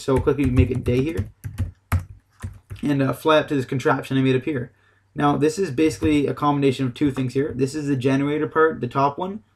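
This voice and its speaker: A man's voice: mid-range voice, darkish tone. A man giving a casual, unscripted game tutorial, explaining things in a loose, hesitant way. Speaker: gaming tutorial host.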